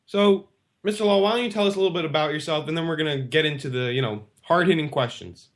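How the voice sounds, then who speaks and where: A man's voice: on the high side, quick pace. A man hosting a radio talk show, asking loose, rambling questions in a casual conversational way. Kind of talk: casual interview chat.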